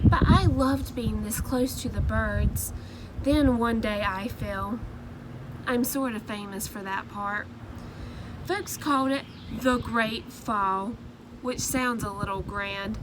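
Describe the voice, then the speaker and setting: A timid egg tells his own story from a picture book in a soft, earnest way, read by a woman. The telling is slow, and the voice sits slightly high. A steady background noise sits under it. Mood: wistful. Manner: soft, earnest first-person storybook narration.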